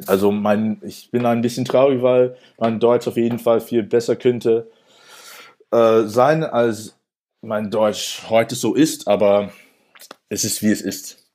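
A young man from New York with an American accent, his voice sitting fairly low. He talks in German, his second language, halting and restarting often and filling gaps with "äh". It comes across as relaxed interview chat. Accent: American English. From New York, USA. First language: English. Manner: hesitant, many restarts and fillers. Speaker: interview guest.

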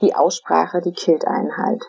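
A middle-aged woman from Germany, answering interview questions in a casual, thoughtful way, with many fillers and pauses. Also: lowish voice, fairly even intonation, vocal fry, darkish tone, husky, no room sound. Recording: dull, dry lo-fi recording.

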